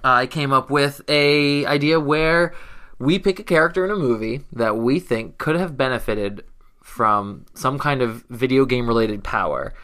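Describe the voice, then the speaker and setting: A man's voice: mid-range voice, a little slow, a bit bright. A man co-hosting a podcast, chatting casually and playfully about games and movies. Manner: playful, joking. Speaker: podcast co-host.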